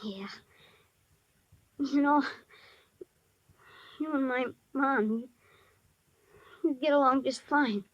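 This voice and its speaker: An actor playing a shy but tough-talking ten-year-old boy in 1950s radio drama, with halting, slangy speech. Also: high-pitched, fairly bright tone, no room sound, highs cut off. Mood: scornful, tough. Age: child figure, almost eleven. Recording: dry vintage 1950s radio recording.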